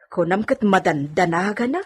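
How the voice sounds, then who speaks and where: Radio drama maid and confidante, female, played by an actress: medium pitch, a bit husky, frank, concerned. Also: animated, normal speed. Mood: curious, prodding. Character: concerned, outspoken female housemaid, confidante to her mistress. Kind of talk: Ilocano radio drama acting.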